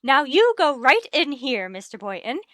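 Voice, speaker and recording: An actress playing a middle-aged, breezily bossy wife and gushing hostess in a vintage radio comedy. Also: female voice, high voice, very animated, moderate pace, a bit bright, dry recording, studio quality. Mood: brisk, hospitable. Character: chirpy, managing middle-aged wife of the principal.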